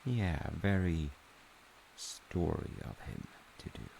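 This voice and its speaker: A loving dad, played by a man tells a story in a very low, creaky voice. He rambles through wry, conversational asides in a playful audio roleplay manner. A faint hiss sits behind him. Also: big pitch swings, slow, darkish tone, heavily acted. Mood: wry, gentle. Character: loving, playful dad telling his child a parable. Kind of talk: audio roleplay, informal bedtime-style storytelling.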